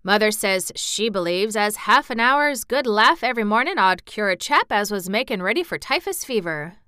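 A woman's voice: medium pitch, animated, moderate pace, sharp tone, clear, resonant tone, no room sound, studio quality. A woman voicing a cheerful young Yorkshire farm boy who speaks in broad dialect. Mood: amused. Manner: broad dialect, earnest and coaxing.

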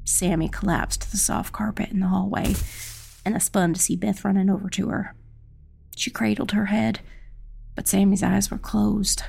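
A woman reading a dramatic first-person dark fantasy narration as an audiobook narrator. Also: mid-range voice, creaky, breathy edge. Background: faint music.

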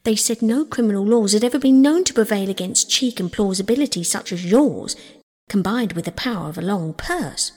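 An emphatic, husky river rat from a storybook, played by a woman. He is earnest and excitable, with old-fashioned speech that leaps about in pitch, and long reverb trails the voice. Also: brisk. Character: earnest, scolding, excitable male river rat, loyal friend.

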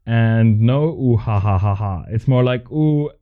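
Slightly muffled, lo-fi audio of a man co-hosting a casual podcast. His mid-pitched voice is a bit scratchy and nasal, as with a cold coming on. He talks in an easy, bantering way. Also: unhurried. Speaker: podcast co-host.

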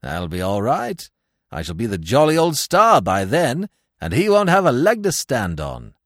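A man's voice: medium pitch, huge pitch swings, moderate pace, dry recording, studio quality, pitched below the performer's own voice. A man voicing a carefree, foppish young upper-class man who giggles and chatters in breezy slang. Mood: chirpy.

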